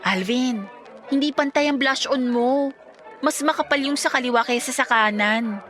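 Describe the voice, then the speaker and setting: A woman's voice: high-pitched, moderate pace, a bit bright, soft background music. A woman playing a candid, affectionate young girlfriend in a radio drama, blunt and questioning in a casual way. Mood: candid, concerned.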